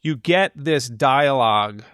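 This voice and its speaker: A man's voice: mid-range voice, lively intonation, slow pace, a bit bright, clear, resonant tone, no room sound, clean studio sound. A man hosting a film podcast, explaining his take in casual, think-aloud analysis. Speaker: podcast host.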